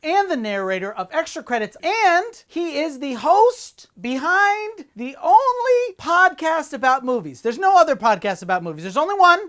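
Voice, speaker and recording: Animated man co-hosting a film podcast. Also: very high-pitched, normal speed, hardly any pauses. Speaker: podcast co-host. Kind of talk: casual film commentary.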